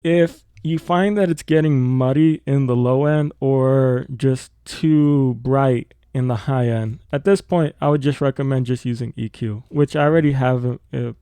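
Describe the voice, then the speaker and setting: A man explaining audio editing informally, thinking aloud as he works through a software tutorial. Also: mid-range voice, steady tone, a little slow, hardly any pauses, smooth, dry recording.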